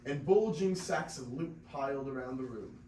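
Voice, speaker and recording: A man's voice: mid-range voice, animated, normal speed, warm tone. A teenage boy narrating a scripted story as part of a classroom reader's theater. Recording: echoey classroom, distant mic, faint noise.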